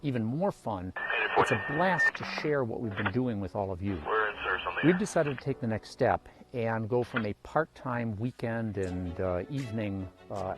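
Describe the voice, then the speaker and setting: A man presenting a technology project channel, explaining and appealing to viewers in a scripted, direct-to-audience style. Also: on the low side.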